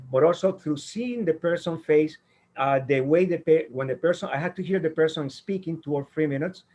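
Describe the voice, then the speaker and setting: Interview guest, male, first speaker, slightly high voice, earnest, rambling. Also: a little mellow. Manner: earnest, rambling with many fillers and restarts. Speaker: guest, sound healer and tenor. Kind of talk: interview answers.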